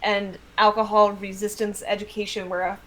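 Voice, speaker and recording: An American woman chatting casually as a guest author, telling stories in an animated, self-deprecating way with some swearing. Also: medium pitch, normal speed. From United States. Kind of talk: casual livestream chat.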